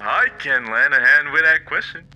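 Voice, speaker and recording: A man's voice: a little high, normal speed, pushed and bright, dull audio. A man voicing a smug male radio demon in a fan comic dub, sly and mocking. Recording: faint music behind.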